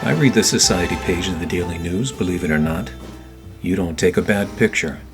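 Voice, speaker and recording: A low-pitched, weary private eye from a 1940s noir radio drama. He tells his case and trades wisecracks in a deadpan way, with music clearly playing underneath. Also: fairly quick. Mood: wry. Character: weary, wry 1940s hard-boiled male private eye. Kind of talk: noir radio play acting with first-person narration.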